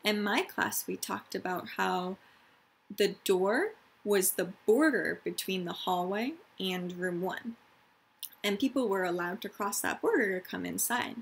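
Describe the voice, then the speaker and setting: Lady teacher doing a kids read-aloud, medium pitch, stresses the key words, keeps it simple. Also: creaky, breathy edge. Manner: simple, deliberate explanations with emphasis on key words. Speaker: elementary school teacher. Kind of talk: read-aloud lesson for young children.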